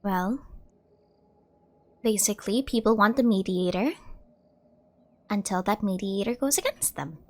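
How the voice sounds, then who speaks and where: A woman's voice: mid-range voice, a little slow, pauses often. A woman giving casual gameplay commentary as a streamer, chatty, reflective and jokey. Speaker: gaming streamer.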